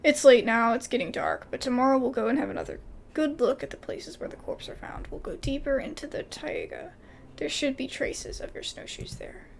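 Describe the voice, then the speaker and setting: A woman's voice: high voice, fairly quick, creaky, slight background noise. A woman doing casual video game commentary and reading dialogue aloud, with informal, exclamatory asides.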